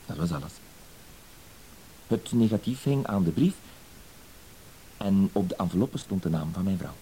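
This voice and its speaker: An actor playing a timid, dutiful husband with wounded dignity, hesitant, wordy and apologetic, in a radio play. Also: male voice, sits low, expressive, normal speed, dark tone, low-quality recording. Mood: earnest, confiding. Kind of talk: Dutch-language radio play acting. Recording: hissy old radio play recording.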